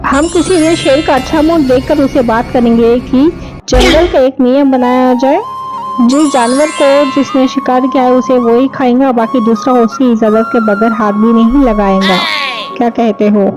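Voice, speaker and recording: A sympathetic, chummy bear from a cartoon fable, as a woman puts him on, a bit lower than her high voice. He speaks kindly and comfortingly. Music plays in the background. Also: steady tone, normal speed, close to an everyday voice, rough recording. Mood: consoling. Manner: consoling, chummy. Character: friendly sympathetic male bear.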